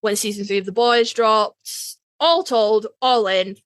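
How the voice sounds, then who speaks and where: British woman, higher-pitched voice, dry close sound. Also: steady tone, unhurried, clear, resonant tone, no room sound. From United Kingdom. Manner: casually sweary. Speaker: podcast co-host. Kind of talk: casual fandom podcast chat.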